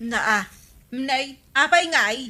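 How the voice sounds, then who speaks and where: A woman's voice: high-pitched, lively intonation, takes it slowly, pushed and bright, heavily acted. A woman playing a chatty, gossipy villager in a Filipino radio comedy drama, with an Ilocano accent. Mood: alarmed.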